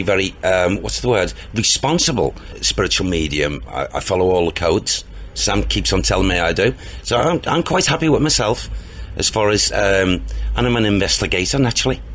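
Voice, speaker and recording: Male interview guest, booming and low-pitched, very expressive intonation, earnest and rambling. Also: moderate pace. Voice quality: booming, deep voice. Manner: earnest, rambling, with hesitations and restarts. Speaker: interview guest, spiritual medium. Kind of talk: casual interview talk.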